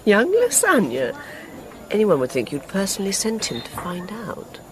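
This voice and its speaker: A woman playing an inspector's prim, teasing middle-aged wife in a radio drama, gently disapproving and knowing. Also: mid-range voice, huge pitch swings, moderate pace, slight background noise, low-quality recording. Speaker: actor in a supporting role. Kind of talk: naturalistic radio drama acting.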